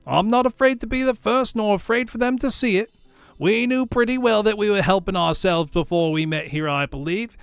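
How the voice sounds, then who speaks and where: A man's voice: high-pitched, brisk, smooth, no echo, soft background music, pitched above the performer's own voice. A man voicing a brazen, scornful charwoman in a storybook reading, coarse, gloating and emphatic. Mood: bold, impatient. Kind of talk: storybook character voice.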